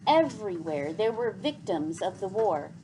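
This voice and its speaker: A woman narrates a children's novel aloud in an expressive, deliberate, dramatic storybook style, as scripted reading. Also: mid-range voice, slight background noise, poor audio.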